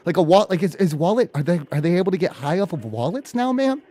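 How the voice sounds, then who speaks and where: A man hosting a live prank call show, chatting casually in a rambling, halting way and often repeating his phrases. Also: sits high, animated, dark tone.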